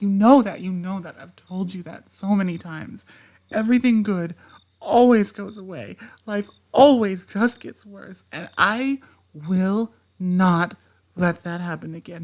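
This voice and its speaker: A woman voicing an obsessive, possessive ex-partner in an audio roleplay, speaking softly and dropping into whispers before flaring up. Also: on the low side. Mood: angry. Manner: soft-spoken, drops into whispers, flares up. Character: obsessive possessive ex-partner, just released, menacing.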